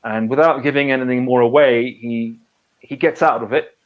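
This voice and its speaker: Male author guest, middle pitch, halting and restart-prone, muffled lo-fi call sound. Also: steady tone. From half British, half French. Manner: hesitant, many fillers and restarts. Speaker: author and conservation biologist. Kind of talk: casual interview over a live video call. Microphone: video call.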